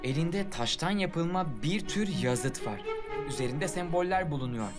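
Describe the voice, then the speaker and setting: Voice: medium pitch, animated, moderate pace, natural voice. A performer playing an eager, confident adventurous schoolboy in a dubbed children's cartoon. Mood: confident, curious. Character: plucky adventurous schoolboy hero. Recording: loud music behind the voice.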